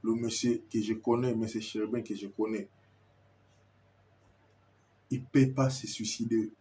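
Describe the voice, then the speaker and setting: Insistent Congolese man, mellow voice. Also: on the low side, fairly even intonation, unhurried. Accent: Congolese French. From Kinshasa, Congo. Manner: insistent, repetitive, stammers when searching for words. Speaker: commentator addressing viewers. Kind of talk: impassioned video monologue.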